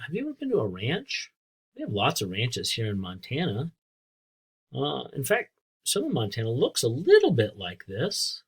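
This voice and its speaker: American guy teacher doing a read-aloud for kids, husky voice, pitch moves around a lot, takes it slow. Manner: simple, explanatory, addressing young listeners with questions. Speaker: teacher reading aloud to children. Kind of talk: read-aloud lesson with asides and questions.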